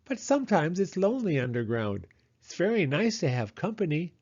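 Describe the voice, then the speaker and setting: A man's voice: a little high, big pitch swings, fairly quick, a little mellow, lo-fi sound, voice pushed up. A man voicing a friendly, chatty female mole in a children's storybook, fussy and sociable. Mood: cheerful.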